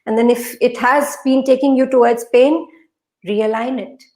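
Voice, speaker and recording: Female motivational coach, native Urdu speaker, slightly high voice, emphatic and instructive, recorded in an echoey room. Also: fairly even intonation, normal speed, warm tone. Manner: emphatic, instructive, repeats phrases. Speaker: podcast guest, mindset coach. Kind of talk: conversational motivational advice.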